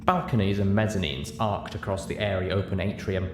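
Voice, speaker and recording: Low-voiced man narrating, roomy sound. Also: steady tone, a little fast. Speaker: narrator, story reader. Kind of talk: expressive scripted story narration. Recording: roomy, clearly noisy home recording.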